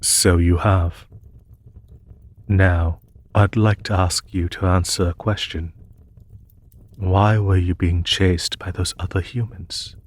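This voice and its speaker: Deep, husky, courteous demon emperor played by a man. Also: steady tone, heavily acted. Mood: formal politeness. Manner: formal, measured, reassuring, polite phrasing. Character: courteous, solemn, gentle male demon emperor rescuing an injured human. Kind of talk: scripted audio roleplay addressed to the listener.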